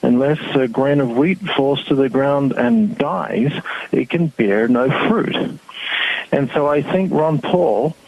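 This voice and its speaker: A man's voice: mid-range voice, gravelly, lo-fi sound. A man from New Zealand with a mixed New Zealand and Australian accent, a guest speaking earnestly and rallying listeners in an interview. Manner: earnest, rallying, measured delivery with fillers. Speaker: guest, website founder. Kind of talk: casual interview conversation.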